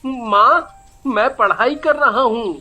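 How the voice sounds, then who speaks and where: A woman's voice: on the high side, takes it slowly, pushed and bright, exaggerated voice. A woman voicing an innocent, trusting little boy in a children's cartoon, childlike and earnest, with music behind. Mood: eager, obedient. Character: innocent, trusting little boy who adores his mother. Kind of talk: Hindi children's cartoon dubbing.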